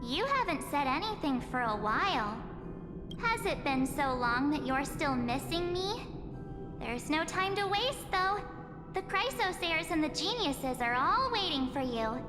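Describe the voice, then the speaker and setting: A woman's voice: high-pitched, sing-song, measured pace. An actress playing an uncanny memory spirit who poses as a perky girl in a video game, teasing, breezy and persuasive. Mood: impatient, teasing. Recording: long hall reverb, game music behind.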